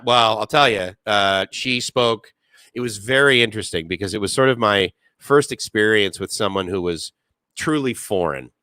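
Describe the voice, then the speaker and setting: A man's voice: medium pitch, bright, forceful tone, no room sound, studio quality. A man, hosting a casual live stream, rambling with many fillers and reading chat comments aloud.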